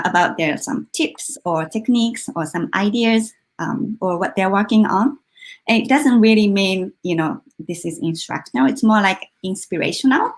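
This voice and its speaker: A woman hosting a live online workshop in an informal, slightly hesitant way. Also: mid-range voice. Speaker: workshop host. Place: online video session.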